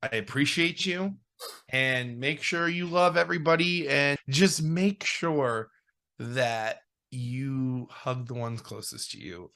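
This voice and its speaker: Joking man with a bright voice. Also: mid-range voice. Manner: jokey, playful, laughs a lot. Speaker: podcast co-host. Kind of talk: casual chat.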